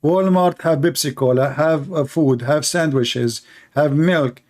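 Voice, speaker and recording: A man's voice: a little high, measured pace, darkish tone. A man hosting an online debate, mocking in a sarcastic rant with broken English, restarts and many fillers.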